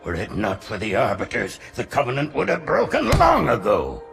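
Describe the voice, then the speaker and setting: Very old alien prophet, heavy gravel, wildly swooping pitch, reverent. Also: male voice, huge pitch swings, normal speed, fairly bright tone, faint music behind, exaggerated voice. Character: frail ancient alien priest, male.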